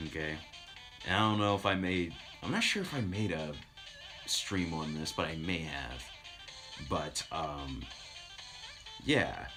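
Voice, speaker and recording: A man's voice: extremely low pitch, sharp tone. A man casually telling anecdotes as a streamer, in an informal, self-deprecating way.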